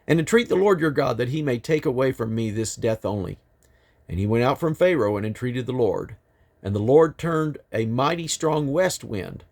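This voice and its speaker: A man reading the Bible aloud in deliberate, emphatic phrases, with an occasional stumble. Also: medium pitch, darkish tone. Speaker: Bible reader.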